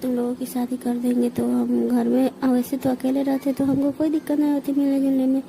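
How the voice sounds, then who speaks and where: Very muffled-dark, high-voiced woman on a call. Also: completely flat delivery, a little fast, vocal fry. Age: 40s. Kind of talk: informal phone conversation. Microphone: phone. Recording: phone call with faint noise.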